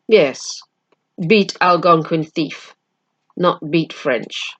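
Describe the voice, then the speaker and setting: A woman reader acts out a stern, proud warrior chief from a storybook. The speech is slow and terse, in clipped broken English, with a bitter edge. The recording is slightly dull. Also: low voice, heavily acted. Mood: bitter, gruff.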